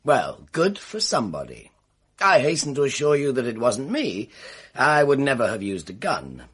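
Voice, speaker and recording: A theatrical, embittered man in a radio mystery. His voice is husky and swings widely in pitch, with florid, carefully turned phrasing full of spite. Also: huge pitch swings, moderate pace, fairly bright tone, full character voice. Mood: venomous relish. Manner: florid, precise, melodramatic delivery. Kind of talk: radio mystery drama acting.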